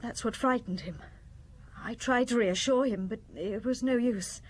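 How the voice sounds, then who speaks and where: An actress playing a fragile, guilt-ridden woman in a radio drama, hesitant, faltering and anguished, her voice breathy and husky. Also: fairly high pitch, unhurried. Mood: sad, subdued.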